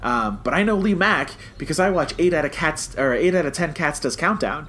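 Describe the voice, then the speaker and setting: An American man co-hosting a podcast in casual, animated fan chat that slips into mock quotes. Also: a little high, brisk, a little hiss or hum. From United States. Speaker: podcast co-host.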